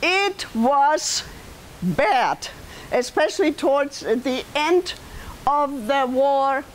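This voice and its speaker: An older woman with a heavy German accent, giving a loud, projected talk to an audience in a library meeting room. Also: sits high, animated, very slow, slight background noise. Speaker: guest speaker. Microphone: far camera.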